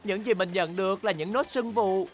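A woman voicing an excitable, boastful young bear cub in a children's cartoon, with exaggerated, childlike delivery. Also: mid-range voice, normal speed, faint music behind, close to an everyday voice. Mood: rueful. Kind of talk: animated children's cartoon dubbing.

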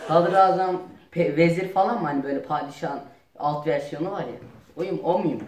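Deadpan teenage boy in an echoey room. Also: fairly high pitch, brisk, distant mic. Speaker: performer in a family comedy skit. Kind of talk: casual improvised comedy banter. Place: home dining room. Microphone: camera a few metres away. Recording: roomy echo with faint noise.

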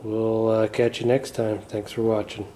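Laid-back low-voiced man mumbling through a repair. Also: moderate pace. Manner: mumbly, relaxed, trailing off with fillers. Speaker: channel host. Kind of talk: casual hands-on repair vlog. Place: garage, working on a floor bench.

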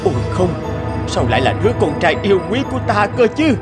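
A man playing an anxious, greedy middle-aged merchant in a cartoon, with an expressive, blustering delivery. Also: high-pitched, fast, music in the background. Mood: dismayed. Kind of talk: cartoon voice acting.

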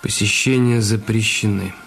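An actor playing a curt, cornered husband with a guilty secret in a radio drama, his voice husky. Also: lowish voice, steady tone, moderate pace, fairly bright tone. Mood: irritated, defiant. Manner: curt, brusque. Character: cornered, irritable husband hiding a crime.

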